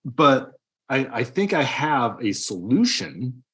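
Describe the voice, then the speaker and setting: A man's voice: mid-range voice, big pitch swings, slow pace, fairly bright tone. A man co-hosting a podcast, discussing movies casually in an emphatic, argumentative way. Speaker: podcast co-host.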